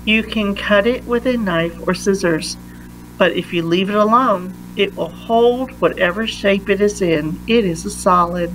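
Female read-aloud narrator for a kids' science book, unhurried and emphatic, faint noise behind. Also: medium pitch, rough recording. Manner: deliberate, emphatic phrasing for young listeners.

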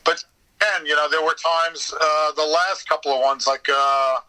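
A man giving a casual interview as a guest, telling stories in an animated way with mild swearing and quoted exchanges. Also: a little high, normal speed, poor audio. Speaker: podcast guest, voice actor.

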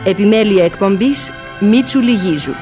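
A woman's voice: medium pitch, expressive. A woman reading formal scripted credits as a radio announcer. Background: music.

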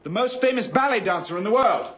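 A man's voice: mid-range voice, animated, normal speed, fairly bright tone, natural voice. An actor playing an opinionated silent-film performer who defends his art in a theatrical, lecturing manner. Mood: emphatic. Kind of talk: film drama monologue.

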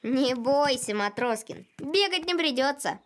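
An actor voicing a sensible, earnest young boy in an animated series, reasoning and encouraging like a peacemaker. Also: high-pitched, a little slow, background noise.

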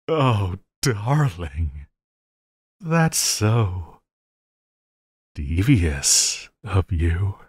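A man acts out a lovestruck, obsessive villain in an intimate audio roleplay. His low voice swoops theatrically through a slow drawl, prone to giggles. He sounds playfully menacing, yet ready to plead like a devoted lover. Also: husky, no room sound, clean studio sound, full character voice.

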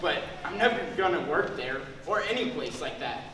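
A man's voice: fairly high pitch, animated, moderate pace, fairly bright tone. A young man on stage playing a laid-back metalhead store worker with slangy slacker talk. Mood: casual, smug. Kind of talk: stage comedy acting. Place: school theater stage. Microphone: distant camera in the audience. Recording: echoey theater stage from far off, noisy.

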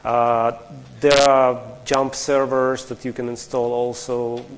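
A man's voice: mid-range voice, flat delivery, slow. A man from Russia, giving a technical conference talk in a large lecture hall, explaining step by step with frequent restarts and asking the audience questions. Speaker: conference speaker.

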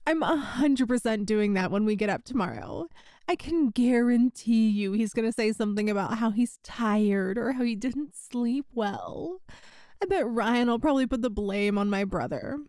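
A woman's voice: a little high, moderate pace, mellow, no echo, clean studio sound, lightly acted. A woman playing a friend's chatty, teasing older sister in a casual, giggly roleplay audio. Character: best friend's older sister, playful, teasing, secretly smitten. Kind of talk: roleplay audio acting, one side of a conversation.

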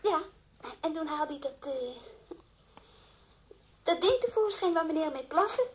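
Little child witness for radio drama: high-pitched, slow, innocent, excitable. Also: female voice. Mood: excited, naive. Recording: faint background noise.

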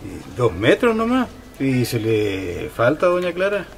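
An actor playing a kind, naive shopkeeper in a radio play, with a Chilean accent and an insistent way of repeating himself. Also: male voice, medium pitch, huge pitch swings, unhurried, gravelly, a little hiss or hum, exaggerated voice. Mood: generous, insistent. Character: kind, naive, soft-hearted fabric shopkeeper. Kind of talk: radio drama acting. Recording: music behind the voice.

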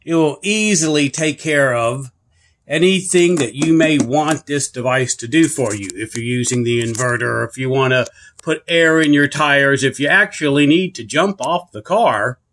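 A man's voice: fairly high pitch, unhurried, fairly bright tone, a little hiss or hum. A man giving a casual product review and demonstration in a plain-spoken, earnest way, repeating himself for emphasis. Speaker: product reviewer and channel host. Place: carpeted room indoors.

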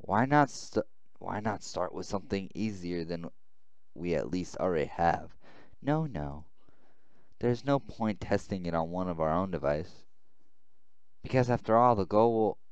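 A man's voice: sits low, a little mellow, clean tone, no echo, dull audio. A man voicing a calculating, persuasive male rebel soldier in a visual novel game, coaxing as he talks.

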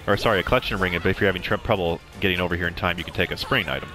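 A young man with a very deep, low voice talks through a game walkthrough in a loose, rambling way, stopping often with ums and restarting his sentences. Game music plays clearly underneath him the whole time. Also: racing. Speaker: gameplay commentator. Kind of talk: casual game walkthrough commentary.

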